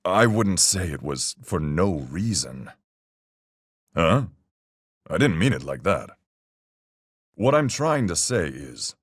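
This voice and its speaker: Game sailor-sleuth, low gravelly voice, lively intonation, folksy nautical phrasing, measured, reasoning, calm. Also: male voice, dry recording, clean studio sound, exaggerated voice. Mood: thoughtful, explanatory. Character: calm seafaring man, salty sailor-sleuth reasoning through a murder. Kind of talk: video game voice acting, deliberate argument.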